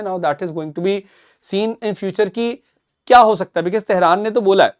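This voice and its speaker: A man with an Indian accent presents current affairs analysis in an emphatic, repetitive explanatory way, switching between two languages. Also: high-pitched. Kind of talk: explanatory news analysis to camera.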